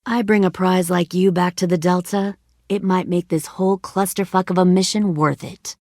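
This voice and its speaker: A woman acts out a hardened female survivor leader from a video game drama, middle-aged and menacing. Her voice sits low with a heavy gravelly creak, captured very dry and close. She talks like someone used to giving orders and making threats. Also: fairly even intonation, normal speed, a little mellow, no room sound, close to an everyday voice. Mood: coolly threatening. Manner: cold, threatening, commanding.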